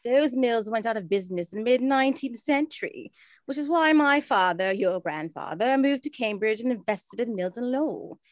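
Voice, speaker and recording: Woman as genteel 1920s auntie, period drama: fairly high voice, formal, earnest, close dry sound. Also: animated, normal speed, no room sound, close to an everyday voice. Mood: grave, explanatory. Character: genteel, earnest well-travelled aunt of the 1920s. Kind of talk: scripted period radio drama acting.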